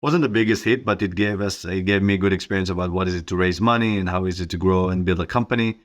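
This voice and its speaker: A man's voice: low voice, quick pace. A middle-aged man with an Israeli accent giving informal, conversational answers as an interview guest. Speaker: interview guest, company co-founder.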